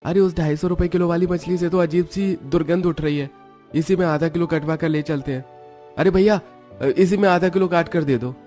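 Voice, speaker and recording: Poor working dad for a cartoon, homely, expressive, slightly high male voice man acting. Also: a little fast, soft background music, natural voice. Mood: practical. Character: poor, affectionate labourer father and elder brother. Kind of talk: Hindi cartoon story acting.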